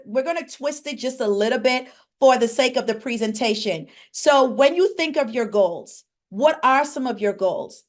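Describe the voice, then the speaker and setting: A woman from the Bahamas, a pharmacist and coach, leading an interactive online workshop. She asks the audience questions and reads out names from the chat. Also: high voice.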